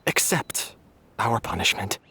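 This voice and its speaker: An actor playing a resigned, submissive young man in a video game drama, husky and halting as he owns his guilt. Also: on the low side, sing-song, pushed and bright, slight background noise, heavily acted. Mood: resigned, apologetic. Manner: halting, apologetic.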